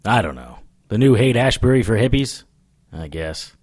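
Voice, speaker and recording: A gruff occult detective in an audio drama, with a low voice. He is wry and sarcastic, grumbling through dialogue and noir narration. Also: big pitch swings, moderate pace, a little mellow. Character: gruff, sardonic male occult investigator hero.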